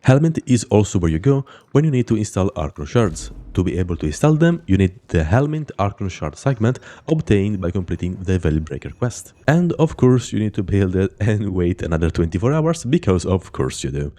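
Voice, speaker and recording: Low, mellow man with a cold. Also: brisk, hardly any pauses. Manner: steady explanatory, then loose chatty sign-off. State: has a cold, voice off. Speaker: gaming channel host. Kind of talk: scripted game guide narration.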